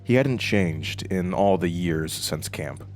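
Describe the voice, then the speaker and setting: A man playing a haunted, brooding protagonist who narrates his traumatic past haltingly in an audio drama. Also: low-pitched, animated, faint music behind. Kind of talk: scripted first-person audio drama narration.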